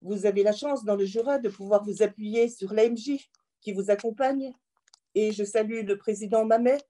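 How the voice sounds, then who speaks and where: A woman delivers a formal prepared address as a politician, in a measured, earnest manner. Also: mid-range voice, mellow. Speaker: member of parliament addressing local mayors.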